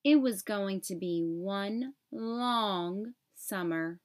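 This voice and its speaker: A woman reading a children's picture book aloud as an expressive storyteller, phrasing clearly for young children. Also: mid-range voice, drawn-out words.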